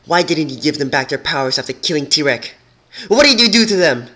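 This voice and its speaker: A man's voice: on the high side, sing-song, brisk, sharp tone, pitched above the performer's own voice, heavily acted. A man voicing a bitter rebel pony mare with a hostile, accusing snarl, in a storybook reading.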